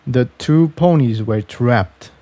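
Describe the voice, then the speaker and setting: Unhurried, mellow male story narrator. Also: medium pitch, dry recording. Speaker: audiobook narrator.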